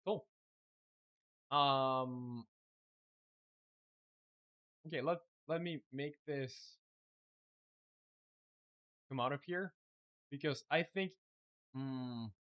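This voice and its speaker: A young man from Chile whose first language is Spanish, talking casually and thinking aloud while building his game on a live stream. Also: medium pitch. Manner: relaxed, thinking aloud with many fillers.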